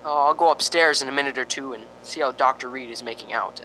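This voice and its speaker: A man's voice: medium pitch, quick pace, pushed and bright, slight background noise, natural voice, rough recording. A man playing a nervous, lonely radio reporter in a spooky radio drama, stammering and rambling with sighing ohs. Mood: nervously joking. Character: jittery, lonely radio reporter broadcasting from a haunted house.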